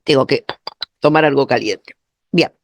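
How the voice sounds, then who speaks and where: A man giving an academic seminar lecture in a halting, didactic way, his throat sore from allergy. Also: mid-range voice, no room sound, clean studio sound. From Argentina. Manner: didactic, halting, often rephrasing and checking understanding. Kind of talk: academic lecture, spoken off the cuff.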